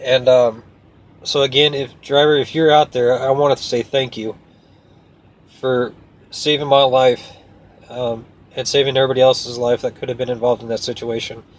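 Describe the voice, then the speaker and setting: A man's voice: mid-range voice, level tone, normal speed, rough recording. A man who works as a truck driver tells a story casually, in a folksy, rambling and earnest way. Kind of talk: casual first-person storytelling to camera.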